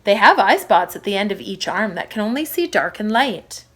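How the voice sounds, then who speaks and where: A woman voicing a calm, knowledgeable fisherman father in a children's storybook, explaining things in an emphatic way. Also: mid-range voice, moderate pace. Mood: instructive. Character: fisherman dad, calm knowledgeable teacher to his daughter.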